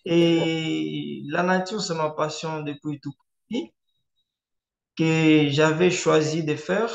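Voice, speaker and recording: Man, Swahili speaker, fairly high voice, measured pace with many hesitations, echoey room. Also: lo-fi sound. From eastern DR Congo. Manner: measured, frequent hesitation fillers. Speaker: conservationist presenter. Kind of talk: online presentation. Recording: roomy echo.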